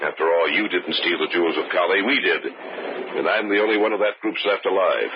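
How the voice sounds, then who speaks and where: A man's voice: sits low, fairly quick. An actor playing a haunted, desperate man in an old-time radio horror drama, grim and commanding, on a muffled noisy recording. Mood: weary, resigned. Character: haunted, desperate man with a gun, resigned to death.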